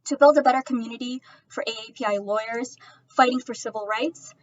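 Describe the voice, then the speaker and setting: A woman's voice: a little high, brisk, highs cut off, a little hiss or hum. A young woman law student giving an earnest, sincere speech accepting a scholarship.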